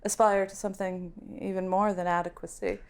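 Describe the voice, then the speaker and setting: A woman's voice: on the low side, flat delivery, brisk, close to an everyday voice. A woman voicing a regal fantasy queen, formal and gracious with a wry edge, in a tabletop game. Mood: gracious, dryly sardonic.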